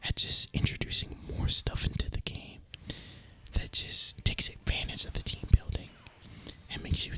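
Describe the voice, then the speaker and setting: Hushed, breathy ASMR whisperer. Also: moderate pace, poor audio. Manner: hushed, informal, musing. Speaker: host of an ASMR gaming channel. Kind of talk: whispered ASMR gaming commentary, casual and rambling.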